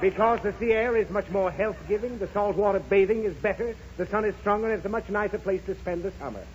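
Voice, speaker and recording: A man's voice: high voice, quick pace, some chatter behind, lightly acted. An actor playing an exasperated, impatient father in a 1930s radio comedy, irritable and emphatic. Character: exasperated, impatient father worn out by his child. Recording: old 1930s radio broadcast, muffled, hissy.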